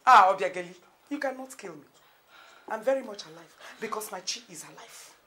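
A woman's voice: mid-range voice, lively intonation, fairly quick. A dramatic elderly Nigerian mother, echoey. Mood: furious, commanding. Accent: Nigerian (Igbo). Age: older. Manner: emphatic, repetitive, exclaiming. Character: elderly aggrieved, fiery, dramatic village mother-in-law. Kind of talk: melodramatic film acting. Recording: roomy, distant mic with loud noise.

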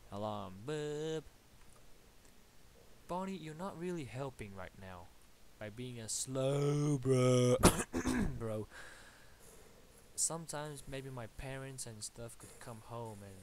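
A man's voice: medium pitch, darkish tone, slight background noise. A young man from Bulgaria with a Bulgarian accent, casually commentating his game at home, rambling and swearing playfully at enemies. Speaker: gamer commentating his play. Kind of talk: casual let's-play commentary. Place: at home at a computer. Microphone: awkwardly placed desktop mic.

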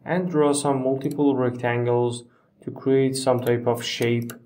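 A man narrating a step-by-step software tutorial in a halting, phrase-by-phrase way with non-native phrasing, recorded on a distant microphone. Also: medium pitch, fairly even intonation.

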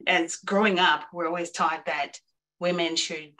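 Woman from zimbabwe on a video call, kinda low voice, earnest and encouraging. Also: fairly even intonation, measured pace, bright, forceful tone. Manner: earnest, encouraging, conversational with fillers. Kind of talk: webinar panel discussion.

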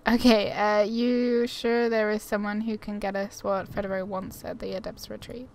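A woman's voice: medium pitch, little pitch movement, moderate pace, vocal fry, slight background noise. A woman giving casual gameplay commentary, reading dialogue choices aloud and muttering chattily to herself. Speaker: game streamer.

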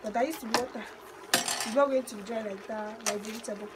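A woman's voice: fairly high pitch, fairly even intonation, fast talker. A woman with a Nigerian accent chatting casually as she cooks outdoors. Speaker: home cook. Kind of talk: casual chat while cooking. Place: outdoor cooking spot in a yard. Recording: very noisy outdoor recording, clattering pots. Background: pots, cutlery and water.

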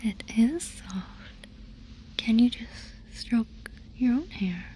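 A woman playing a casual, eager, playful female alien in an ASMR roleplay, speaking in an informal, breathy whisper. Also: fairly high pitch, expressive, slow pace, warm tone, a little hiss or hum, poor audio. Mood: playful, wondering. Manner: informal, reassuring.

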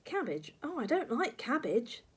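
A woman's voice: fairly high pitch, big pitch swings, measured pace, husky, pitched above the performer's own voice, heavily acted. A woman voicing a timid eight-year-old boy in a children's storybook, pleading and protesting with questions. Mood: disgusted, protesting.

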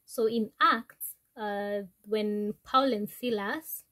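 A young woman with a Kenyan accent talks to the camera as an informal Bible teacher. Her pitch sits in the middle range and her pace is unhurried. She is chatty and halting, correcting herself as she goes. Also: steady tone, sharp tone. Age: young adult. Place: small wood-panelled room indoors.

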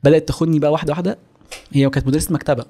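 A man in his twenties speaks with an Egyptian accent in casual podcast style. His voice sits a little high and races along as he explains things step by step. He is close on a studio mic. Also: steady tone, rapid-fire, dark tone. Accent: Egyptian Arabic. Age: 20s. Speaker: podcast guest. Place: small home-style studio office, indoors. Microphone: close studio microphone on boom arm.

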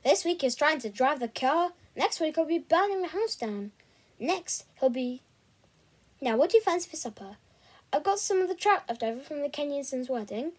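A woman's voice: high voice, animated, normal speed. Woman putting on a sweetly menacing hot-tempered mother. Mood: scolding. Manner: falsely polite, mocking and icy.

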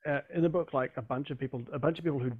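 A man's voice: mid-range voice, fairly even intonation, rapid-fire, breathy edge, no echo, phone-quality sound. A man in his thirties in a casual film chat, rambling and interrupting himself as he goes. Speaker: podcast panellist. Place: room at home, video call.

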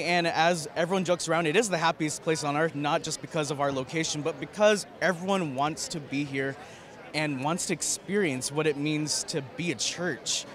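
A man's voice: on the high side, a bit bright. A man in his thirties, a campus minister, answering interview questions enthusiastically into a handheld mic in a large arena. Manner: enthusiastic, effusive. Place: large indoor arena floor. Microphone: handheld mic held by interviewer.

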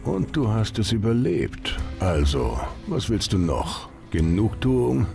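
A man's voice: on the low side, huge pitch swings, takes it slowly, creaky, darkish tone, faint music behind, exaggerated voice. An actor playing a domineering, mocking male gang boss in a video game, sneering and dismissive. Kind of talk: dramatic video game acting.

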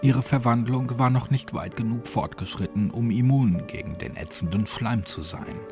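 A man's voice: mid-range voice, moderate pace. A man reading a scripted horror story as an audiobook narrator. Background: music.

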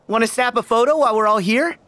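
An actor playing a cheerful, earnest, good-hearted teenage boy hero in an animated video game. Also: mid-range voice, dry recording, close to an everyday voice.

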